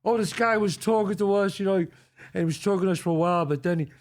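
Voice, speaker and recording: A man's voice: high voice, quick pace. An older man with a New York accent, hosting a casual chat and acting out quotes as he tells stories. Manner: animated storyteller acting out quotes. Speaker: podcast host.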